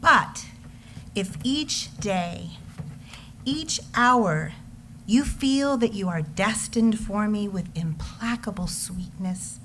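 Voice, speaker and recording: A woman's voice: fairly high pitch, slight background noise. A woman giving a dramatic, lingering recitation of poetry as a reader in readers theater. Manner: slow, expressive, lingering phrasing.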